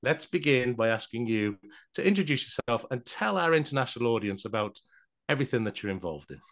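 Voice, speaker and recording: A man's voice: medium pitch, expressive, a little fast, a bit bright. A man hosting an interview show, introducing his guest and asking long, friendly questions, lifting his voice as he poses them. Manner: welcoming, lifts his voice on questions. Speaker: podcast host.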